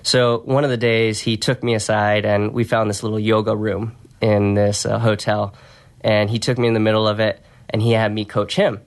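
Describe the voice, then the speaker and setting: A soft-spoken man talking in an easygoing, reflective way as a podcast guest, telling stories about his work in a conversational interview. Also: lowish voice, little pitch movement, moderate pace. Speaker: podcast guest, strength and conditioning coach.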